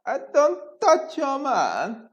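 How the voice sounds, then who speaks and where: A man reacting to a clip on a stream, animated and repeating lines mockingly. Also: very high voice, slow pace, highs cut off. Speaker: commentator on a live stream. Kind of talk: casual reaction commentary.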